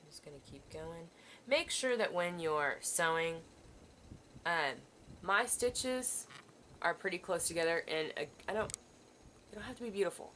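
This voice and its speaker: Bright-voiced woman teaching sewing. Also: lowish voice, animated, moderate pace, long pauses, slight background noise. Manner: patient, reassuring, talks while working hands-on. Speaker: art teacher. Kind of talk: step-by-step sewing demonstration. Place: indoors at a table, overhead camera on hands.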